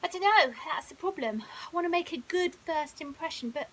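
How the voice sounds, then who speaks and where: A woman's voice: very high-pitched, expressive, normal speed, fairly bright tone, pitched above the performer's own voice, poor audio. A woman voicing an insecure, lovestruck young male lion in a storybook, hesitant and informal. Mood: shy, worried. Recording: hissy background noise.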